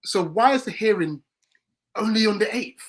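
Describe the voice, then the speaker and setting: A man's voice: high-pitched, expressive, moderate pace, a loud crowd. A man co-hosting a casual football fan watchalong, chatting about club news, reacting to the play and reading out viewer comments. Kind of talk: casual match watchalong chat.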